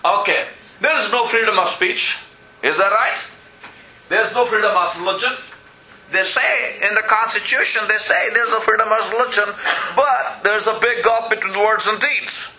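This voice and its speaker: Bright-voiced preacher man. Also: sits high, normal speed, low-quality recording. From Pakistan. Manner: earnest, peppered with "you know" and calls of "Amen?". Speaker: pastor. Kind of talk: sermon.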